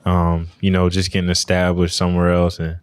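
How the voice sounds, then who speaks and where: A man's voice: low-pitched, monotone, fairly quick, clear, resonant tone. A young American man, a guest on a sports podcast, chatting in an easygoing way and laughing often. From USA. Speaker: guest, pro football player. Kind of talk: casual podcast chat.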